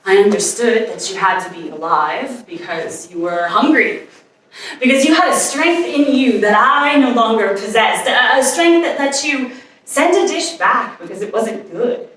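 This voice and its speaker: A man performing a staged monologue as a lovelorn woman haunted by obsessive passion, halting and deliberate with long pauses. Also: very high voice, lively intonation, fairly bright tone, exaggerated voice. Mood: wistful, brooding. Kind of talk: staged dramatic monologue reading. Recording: echoey, distant stage recording.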